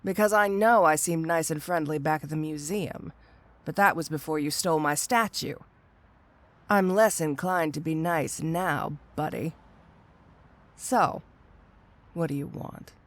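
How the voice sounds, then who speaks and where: Woman acting slow-talking, flirty supervillainess. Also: sits low. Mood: suspicious, challenging. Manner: teasing, sardonic, drawn-out phrasing with amused asides. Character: flirty, playful, dangerous female supervillain cat burglar. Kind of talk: scripted audio roleplay acting addressed to the listener.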